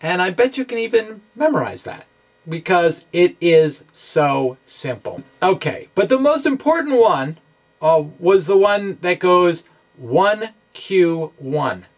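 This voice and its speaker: A man giving an informal science explanation over animations, speaking haltingly and correcting himself as he goes. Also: high voice, very animated. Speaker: science video blogger.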